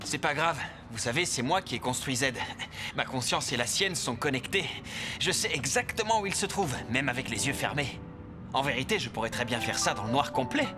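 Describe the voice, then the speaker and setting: A man's voice: mid-range voice, expressive, a little fast, lightly acted. A man voicing a self-assured engineer who boasts about building robots. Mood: boastful. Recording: music under the dialogue.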